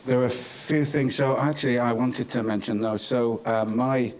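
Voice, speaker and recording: A British man from Cornwall giving semi-formal closing remarks at a conference, speaking in a halting, hesitant way. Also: mid-range voice, flat delivery, darkish tone. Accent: British English. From Cornwall, England. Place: indoor business school conference venue. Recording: clearly noisy conference room recording.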